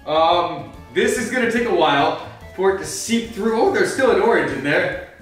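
A man's voice: high-pitched, expressive, normal speed. A man playing Batman as a flustered host of a comedic cooking show, rambling through the steps and snapping into angry outbursts, in a home kitchen. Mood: explaining. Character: Batman, gruff brooding male superhero cooking. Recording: very echoey room, mic far off, faint music.

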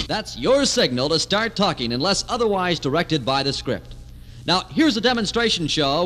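Animated man announcing in long reverb. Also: on the high side. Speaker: record company announcer. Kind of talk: scripted upbeat radio-style instructional narration. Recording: archival record with long reverb.

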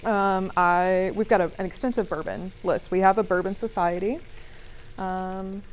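A woman's voice: medium pitch, fairly even intonation, normal speed, rough recording. A young adult woman giving a casual live cooking demonstration as a restaurant manager. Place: TV studio kitchen, indoors. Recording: clear sizzling pan noise. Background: frying and sizzling.

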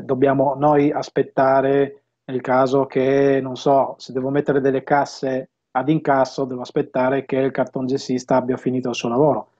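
A man in his fifties speaks in a relaxed, mellow voice as an interview guest. He explains things conversationally, stopping to find his words. The sound is dull and lo-fi, captured through an earphone headset on a video call. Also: mid-range voice. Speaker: audio system installer and dealer being interviewed. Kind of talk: casual interview talk. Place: home room with bookshelves, indoors.

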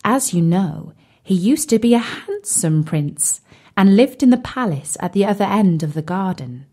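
A woman's voice: mid-range voice, expressive, normal speed, mellow. A woman narrating a scripted children's story expressively, addressing young listeners directly.

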